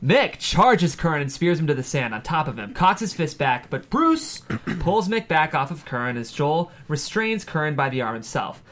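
A man with a fairly high voice narrates a screenplay at a table read. He reads the action dramatically, with very lively, swinging intonation. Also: normal speed. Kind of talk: scripted narration, reading stage directions aloud.

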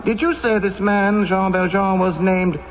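High-pitched, commanding courtroom judge. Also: male voice, fairly even intonation, measured pace, noisy background. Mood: official, commanding. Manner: formal, declamatory, orderly. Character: formal, commanding male judge presiding in court. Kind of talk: old-time radio drama acting. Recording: dull band-limited radio drama recording with music.

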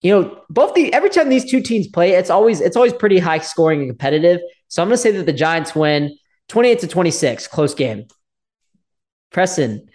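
A man's voice: high-pitched, very animated, fairly quick, darkish tone. Congested man picking football games. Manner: hesitant, restarts often. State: congested from allergies. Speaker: podcast co-host. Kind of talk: casual sports chat.

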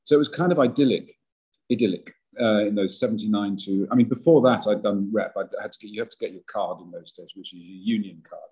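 A man's voice: lowish voice, quick pace, a little mellow, breathy edge, rough recording. An older man, an actor, talking in a podcast interview, rambling and self-deprecating with many restarts and a big voice. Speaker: actor guest.

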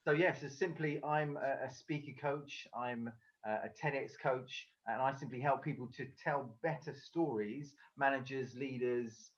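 A man from England chats casually as an interview guest, often pausing with fillers and restarting his sentences. His voice sits at an ordinary middle pitch. The call audio is dull and lo-fi. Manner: hesitant, many fillers and restarts. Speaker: guest, speaker coach. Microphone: video call.

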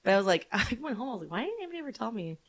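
A young woman from Jacksonville with a Southern accent, telling animated stories and laughing through a casual podcast chat. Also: medium pitch, very fast. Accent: Southern American. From Jacksonville, Florida. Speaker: podcast guest comedian.

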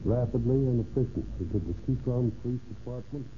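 A man reads a formal scripted account as a police official. His pitch is average. The old radio recording is very narrow, with clear background noise. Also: fairly quick. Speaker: police chief narrating a case. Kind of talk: scripted narration. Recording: tinny, noisy 1930s radio recording.

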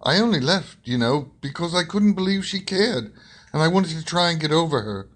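A man playing an amiable young gentleman in love in a radio play, talking in an open, earnest way. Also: a little high, lively intonation, normal speed. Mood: happy, earnest. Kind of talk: radio theater acting.

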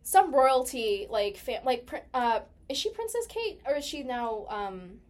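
A woman's voice: fairly high pitch, measured pace. A young American woman in casual personal conversation, chatty and peppering her talk with "like". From United States. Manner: chatty, animated storytelling with heavy use of "like".

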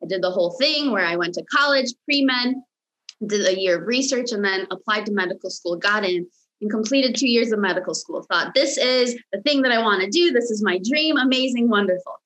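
A woman's voice: mid-range voice, a little fast, poor audio. Young american woman on a podcast, romanian first language, chatty and upbeat. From United States. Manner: warm, enthusiastic storytelling. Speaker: podcast guest, mindset coach. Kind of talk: casual interview chat. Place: home in Los Angeles, remote call.

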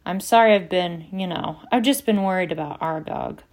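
A woman voicing a gruff, kindly giant gamekeeper with rough rural speech, read in an audiobook. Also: on the low side, brisk, darkish tone, lightly acted. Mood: apologetic. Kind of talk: audiobook character reading.

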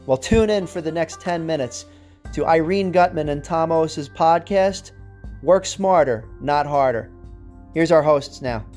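Announcer over faint music. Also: male voice, unhurried, darkish tone. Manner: rhetorical, pitchy. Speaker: show announcer. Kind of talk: scripted promo intro.

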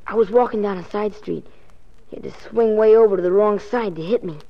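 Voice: medium pitch, a little fast, natural voice. A performer playing a small, hurt but earnest boy with an American accent and a youthful voice, in 1940s radio drama style. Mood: weak, earnest. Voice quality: youthful, boyish. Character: small injured boy, weak but earnest, street kid. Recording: muffled 1940s radio recording.

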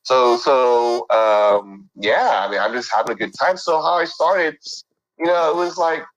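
A man's voice: mid-range voice, measured pace, fairly bright tone, low-quality recording. A middle-aged man from San Francisco, talking casually as an interview guest and rambling with lots of fillers and restarts. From San Francisco, United States.

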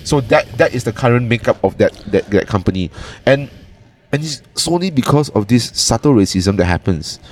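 A man's voice: mid-range voice, animated, brisk, a little hiss or hum. A young Malaysian man co-hosting a podcast in casual, opinionated chat, often checking in with "right?" and repeating words for emphasis. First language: Cantonese. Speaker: podcast co-host.

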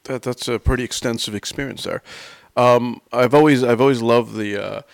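A man's voice: medium pitch, steady tone, normal speed, creaky, no echo. An American man hosting a casual interview, somewhat hesitant, often repeating and rephrasing himself as he asks questions. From United States. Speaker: interviewer, host.

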